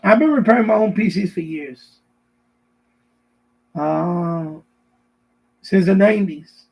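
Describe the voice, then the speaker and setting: Middle-aged male streamer, high raspy voice, casual rambling chat with viewers, loops back over his words. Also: takes it slowly, a little mellow. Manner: rambling, repetitive, answering viewers' messages. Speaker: live stream host. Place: basement at home.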